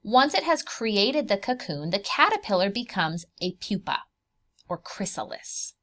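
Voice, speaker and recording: A woman reads aloud as a preschool teacher to young children, at a medium pitch with a highly varied melody. She goes slowly and draws out key words. Her explanations are simple, and she puts questions to the listeners as she goes. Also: big pitch swings, fairly bright tone.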